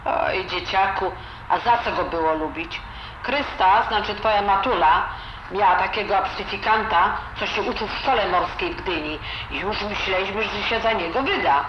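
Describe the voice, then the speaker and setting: A woman's voice: medium pitch, moderate pace, lightly acted. A woman playing a blunt, disapproving old grandmother with a Silesian accent and grumbling, dialect-filled talk in an audio drama. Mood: scornful. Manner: grumbling, colloquial, full of dialect words. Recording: roomy, dull, noisy home recording.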